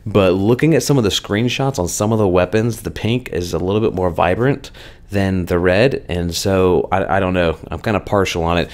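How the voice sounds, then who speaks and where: A man hosting a weekly game news update in a chatty, conversational way, his voice slightly hoarse. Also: sits low, moderate pace. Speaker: gaming channel host.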